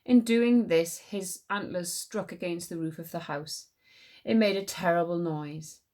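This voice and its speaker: A woman reading a story aloud as a teacher would, in an expressive storybook narration. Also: fairly low pitch, unhurried.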